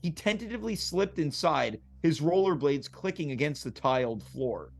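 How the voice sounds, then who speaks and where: A man's voice: a little high, lively intonation, normal speed, vocal fry. A man narrating stage directions and action from a movie script at a comedic table read.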